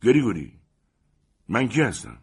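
A man voicing a proud, indignant gentleman in a dramatic story reading, pompous and scornful in his declamatory speech. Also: low-pitched, sing-song, husky, heavily acted. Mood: imperious.

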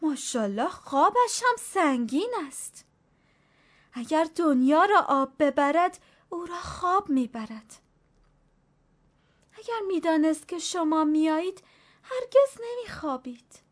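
A high, anxious voice of a stooped old servant woman, put on by a woman reading a story. She pleads in a wily, superstitious way, her voice climbing with fear. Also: animated. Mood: fearful pleading.

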